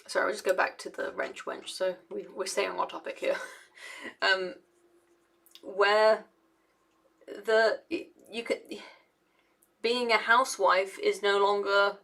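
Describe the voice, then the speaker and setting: A young woman talking casually to camera, with a gravelly edge and a fairly flat, level delivery. She rambles and hesitates, restarting her sentences and leaning on fillers as she works through her thoughts. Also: medium pitch, measured pace, long pauses. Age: young adult. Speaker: engineer and video essayist.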